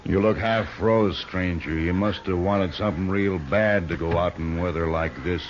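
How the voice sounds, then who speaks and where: Gruff, bullying male outlaw leader for an old radio western, played by a man; low voice, dull hissy recording. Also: normal speed, close to an everyday voice. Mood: suspicious. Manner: gruff, domineering, barking orders. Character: burly, threatening, suspicious frontier outlaw boss.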